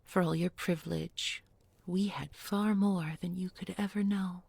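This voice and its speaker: A woman's voice: lowish voice, fairly even intonation, gravelly, darkish tone, breathy edge, no room sound, heavily acted. A woman playing a bookish village beauty turned sly, menacing captor in an intimate audio roleplay, speaking with mock tenderness and often dropping to a whisper. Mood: reproachful, ironic. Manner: deliberate, coaxing, mock-tender with pointed irony. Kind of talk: intimate audio roleplay spoken to one listener.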